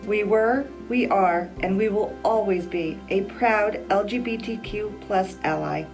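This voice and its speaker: A woman's voice: medium pitch, a little slow, vocal fry, music in the background, poor audio. A woman who is a photographer and officiant, giving a proud, declarative promotional message.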